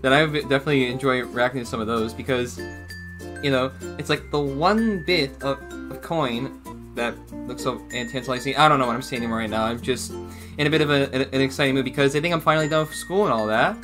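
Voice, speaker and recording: A man's voice: mid-range voice, fast talker, over music. A young man hosting a casual reaction video from a small room, rambling and slipping in jokey asides. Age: young adult.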